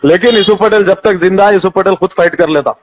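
A man's voice: high-pitched, fast talker. A man with a Hyderabadi accent arguing indignantly and insistently in a heated phone conversation, firing off rhetorical questions. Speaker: local party politician. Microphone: phone call. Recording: dull lo-fi recorded phone call.

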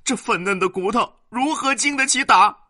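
A male storyteller voicing the wailing, furious wife of a rich man, with a shrill scolding lament. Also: moderate pace, sharp tone, no room sound, studio quality, full character voice. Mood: wailing fury.

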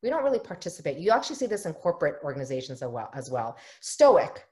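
A woman with a lowish voice leads a parenting workshop over a video call, speaking into her webcam from a home office. She is middle-aged and talks in an earnest, explanatory way. She often slips into short imitations of other people. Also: big pitch swings, fast, a little mellow. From United States. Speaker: family therapist leading a workshop. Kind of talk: online workshop presentation.

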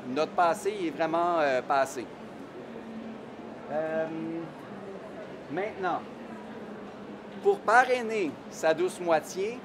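A middle-aged man with a Québécois accent presents to an audience in a large exhibition hall, speaking into a handheld microphone. His voice sits high for a man, and he often pauses on "euh" as he explains. A clear wash of hall noise runs behind him. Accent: Québécois French. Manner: explanatory, frequent hesitations with "euh". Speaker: immigration consultant. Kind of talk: conference presentation.

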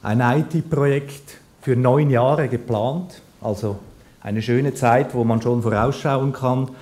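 A man's voice: medium pitch, a little mellow. Man in a very echoey lecture hall. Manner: measured, dry, with frequent hesitation fillers. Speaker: keynote speaker, company CEO. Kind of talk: conference keynote talk. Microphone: lectern microphone. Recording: very echoey hall with faint noise.

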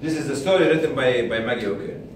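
A man in his sixties telling a story to a group in a deliberate way, repeating and pausing for emphasis. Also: mid-range voice. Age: 60s. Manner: deliberate, with repetition and pauses for emphasis. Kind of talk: spoken storytelling to an audience. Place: medium-sized indoor seminar room. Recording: distant, very echoey room recording with faint noise.

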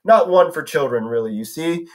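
A man voicing a lonely, sweetly sinister old woman who makes garden statues, speaking in a soft, coaxing, formal way. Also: fairly high pitch, lively intonation, moderate pace, close to an everyday voice.

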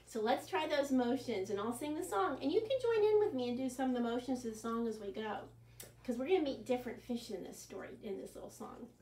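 A woman's voice: fairly high pitch, fairly quick, roomy sound, far from the mic. A woman in her sixties, a children's librarian, reading a picture book aloud with gasps and sing-song songs, recorded in a living room by a camera set back from her. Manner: animated, gasping, sing-song. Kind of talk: storytime reading and singing. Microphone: camera set back across the room.

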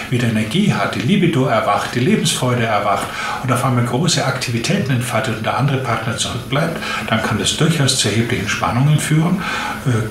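Echoey, noisy recording of a man explaining. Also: mid-range voice. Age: 57, late fifties. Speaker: interviewed physician. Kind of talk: interview, explanatory talk. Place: small indoor room, seated at a desk. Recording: echoey room with clear background noise.

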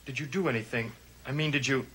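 A man's voice: medium pitch, fairly even intonation, fast talker, a bit bright, husky. A young man playing a haunted, brooding grandson in a gothic soap opera, speaking hesitantly. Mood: anxious. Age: young adult. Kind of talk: 1960s gothic TV soap acting. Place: TV studio set of an old house.

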